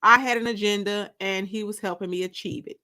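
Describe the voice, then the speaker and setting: A woman narrating a first-person story aloud in an expressive, confiding, emphatic way. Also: medium pitch, brisk.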